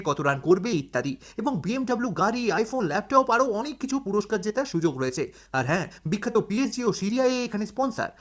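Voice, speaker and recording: Very high, fast male promo voice. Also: fairly bright tone. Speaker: advertising presenter. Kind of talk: scripted upbeat promotional read.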